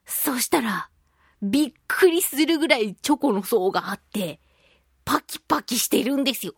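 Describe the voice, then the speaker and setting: A woman hosting a chatty radio show on her own, talking in a playful, expressive, giggly way as she chats and reads listener mail. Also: sits high, no room sound, studio quality. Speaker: radio show host, voice actress.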